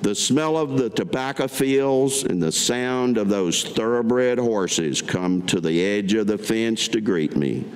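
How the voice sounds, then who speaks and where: An older man from Kentucky giving a staged reading of his own memoir writing, in measured, deliberate phrases. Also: fairly low pitch, expressive. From Kentucky, United States. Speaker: veteran reading his own written piece. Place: public meeting room, at a podium. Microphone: podium gooseneck microphone. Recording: echoey hall with background noise.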